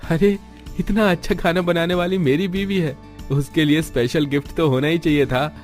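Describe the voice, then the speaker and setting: A man's voice: a little high, fairly even intonation, normal speed, soft background music, close to an everyday voice. A man voicing a young husband, affectionate but demanding, in an Indian cartoon family story with emphatic delivery.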